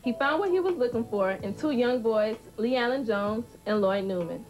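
A young woman narrates a scripted TV report in a fairly high voice. Music plays clearly beneath her. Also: lively intonation, moderate pace, rough recording. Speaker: youth TV reporter.

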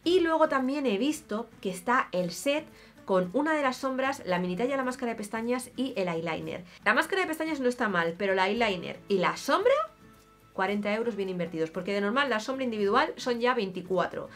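A woman's voice: on the high side, lively intonation, fairly quick, fairly bright tone, soft background music. A woman in her thirties with an accent from Spain, chatting casually and expressively to camera as an opinionated beauty vlogger. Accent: Spanish from Spain. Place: small home room, indoors.

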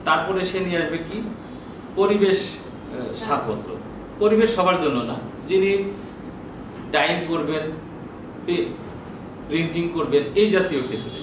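A man's voice: a little high, lively intonation, normal speed, pauses often. A Bangladeshi man in his sixties explaining procedures in an informal lecture, often posing questions and answering them himself. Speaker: customs bond official giving training. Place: small office with bookshelves, indoors. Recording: very echoey, distant mic, noisy room.

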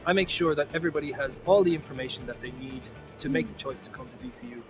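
A man from a sales and marketing office, speaking briskly and with enthusiasm in a promotional video. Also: a little high, vocal fry, distant mic, over music. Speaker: business development staff. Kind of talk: promotional talking-head.

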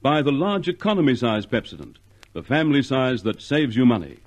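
Animated 1950s male radio announcer. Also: mid-range voice, a little fast. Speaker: commercial and credits announcer.

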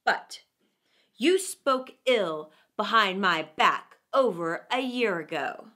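A woman doing a hungry wolf, male, growling, bullying, slow, children's fable. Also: mid-range voice, lively intonation, sharp tone, pitched below the performer's own voice, exaggerated voice. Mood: menacing, accusing.

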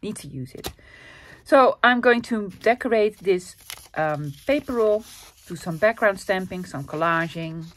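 A woman's voice: mid-range voice, expressive, unhurried. Chatty Dutch woman crafting at her desk. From Netherlands. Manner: chatty, rambling, with fillers and soft laughs. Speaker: craft channel host. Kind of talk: casual craft-with-me chat.

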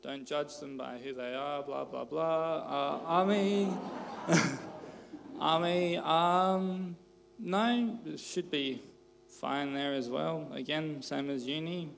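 A young Australian man in his twenties, answering questions on a church stage through a handheld mic, relaxed and loose with plenty of fillers. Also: on the high side, takes it slowly, creaky, soft background music. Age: 20s. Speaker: interviewee, church member. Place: church stage, large hall.

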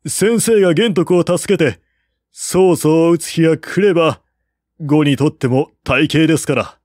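A man's voice: fairly high pitch, a little slow, darkish tone, no echo, studio quality, pitched above the performer's own voice, lightly acted. A man voicing an earnest, loyal royal advisor in an audiobook, polite, formal and persuasive. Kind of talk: audiobook character voice.

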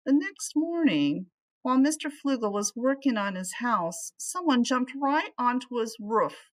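Expressive middle-aged woman reading to children. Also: on the high side, a little slow. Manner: animated, addressing young listeners. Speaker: teacher reading aloud to children. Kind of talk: expressive children's storybook read-aloud with asides.

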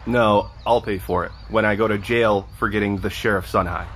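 A man's voice: on the low side, fairly even intonation, moderate pace, a bit bright. A man with an American accent playing a wry, wordy teenage stoner in an audio drama. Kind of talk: naturalistic audio drama dialogue. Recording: noisy outdoor ambience behind.

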